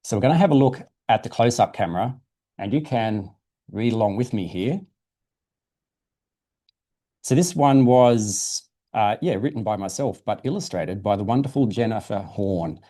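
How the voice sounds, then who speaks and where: Low, mellow-voiced man reading to children. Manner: simple, explanatory storytelling for children. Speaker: guest presenter from a dinosaur museum. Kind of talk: reading a children's science book aloud.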